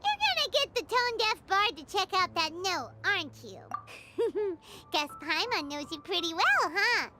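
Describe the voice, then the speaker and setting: A woman voicing a small, cheeky, excitable fairy companion in an anime game, squeaky and bossy. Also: unhurried, very noisy, full character voice. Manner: refers to herself in third person, bossy and teasing.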